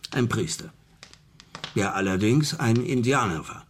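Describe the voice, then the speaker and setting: Ein Schauspieler spielt im deutschen Hörspiel einen höflichen, nachdenklichen Farmer, der früher Militärseelsorger war und seinen Glauben verloren hat. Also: male voice, lowish voice, expressive, unhurried, slight background noise. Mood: grave, reflective. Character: farmer, former army chaplain who lost his faith. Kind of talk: German radio drama acting.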